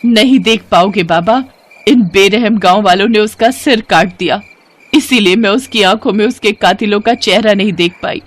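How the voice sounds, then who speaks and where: A woman voicing a vengeful, grieving female shape-shifting serpent with dramatic emphasis in an animated moral story. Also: medium pitch, normal speed, natural voice. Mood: bitter, vengeful.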